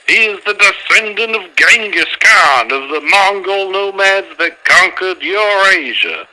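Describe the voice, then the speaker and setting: A man's voice: sits high, sharp tone, exaggerated voice, low-quality recording. A man voicing a boastful, flamboyant race promoter in an anime fan dub, with a grandiose, emphatic showman's delivery.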